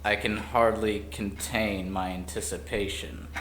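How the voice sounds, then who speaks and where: A man playing an insolent lone special investigator in an audio drama, with a sardonic, mocking deadpan. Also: on the low side, unhurried, lightly acted. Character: lean, predatory male special investigator, insolent lone operative. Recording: echoey room, faint noise.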